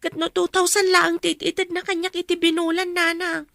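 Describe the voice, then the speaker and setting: A woman's voice: very high-pitched, level tone, moderate pace, creaky, fairly bright tone, no room sound, clean studio sound, heavily acted. A woman acting a dutiful young student daughter in an Ilocano radio drama, earnest and respectful, with a Filipino accent. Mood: plaintive. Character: young female student, dutiful daughter, plaintive but resolute.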